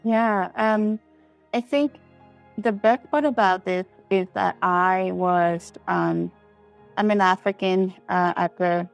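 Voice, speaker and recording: A woman's voice: medium pitch, steady tone, takes it slowly, creaky, faint music behind. A woman from Liberia answering as an interview guest, reflective and hesitant, circling gradually toward her point.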